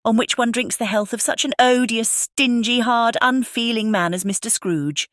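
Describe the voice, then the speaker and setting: A woman's voice: on the high side, moderate pace, fairly bright tone, no room sound, clean studio sound, close to an everyday voice. A woman playing a scornful, indignant Victorian wife. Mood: bitter scorn. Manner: scornful, sarcastic, emphatic. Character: indignant, sharp-tongued working-class wife and mother. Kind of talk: audiobook dramatization.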